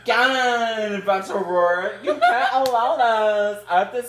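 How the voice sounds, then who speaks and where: A man reading a novel aloud for friends, shouting the characters' lines and breaking into crude jokes and laughter. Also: high voice, unhurried, echoey room, background noise. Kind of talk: comedic group read-through with crude asides.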